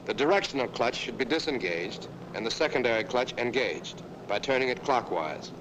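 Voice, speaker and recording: A man reads a scripted training-film narration at a middle pitch. He is brisk and direct and talks straight to the viewer as "you" while he walks them through each step. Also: lively intonation, noisy background.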